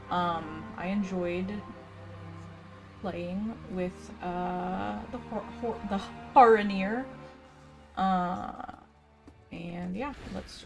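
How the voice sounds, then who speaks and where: A woman gives casual commentary as she plays a game, sometimes reading quest text aloud. Her voice is mid-pitched, and game music plays clearly behind her. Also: low-quality recording. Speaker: gaming video host.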